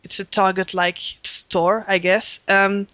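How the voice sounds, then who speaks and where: Halting fan rambling on a podcast. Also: male voice, high-pitched, level tone, sharp tone, no room sound. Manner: hesitant, many fillers, laughs often. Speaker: podcast host. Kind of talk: casual chat.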